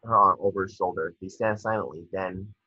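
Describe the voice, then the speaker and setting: A man reads stage directions in a measured, slightly stumbling way. His voice is low, raspy and forceful, captured dry through dull, lo-fi video call audio. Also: no echo. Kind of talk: scripted narration at a table read.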